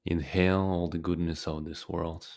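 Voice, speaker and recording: A man leading a guided meditation as a teacher, speaking calmly and deliberately with frequent pauses. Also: sits low.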